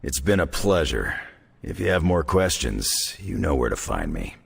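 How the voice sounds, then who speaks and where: An actor playing a gruff, wry veteran fighter in a video game, with a gravelly voice and a laconic, folksy, sardonic way of talking. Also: male voice, extremely low pitch, very animated, normal speed, background noise, heavily acted. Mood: candid, easygoing. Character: gruff, wry veteran fighter, rugged middle-aged man. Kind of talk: video game cutscene dialogue.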